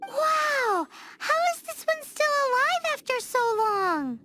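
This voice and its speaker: Tiny fairy companion, worried and exclaiming, very high lively voice, slow pace, loud music. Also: female voice, very noisy, exaggerated voice. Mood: curious. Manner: worried, exclaiming, talks about herself in third person. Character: tiny floating fairy companion girl, chatty and fretful. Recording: game audio with loud music.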